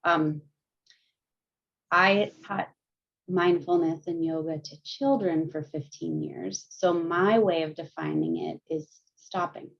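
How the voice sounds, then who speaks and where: A woman counselor leading a group through guided mindfulness and gentle yoga, speaking calmly with frequent light laughs, heard over a video call. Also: low voice, slow, gravelly. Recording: muffled lo-fi video call audio.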